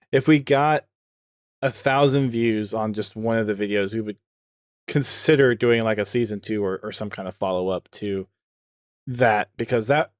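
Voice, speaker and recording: A man's voice: fairly low pitch, measured pace, pauses often, no room sound, clean studio sound. A man hosting a podcast, speaking in a casual conversational way. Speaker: podcast host and video producer.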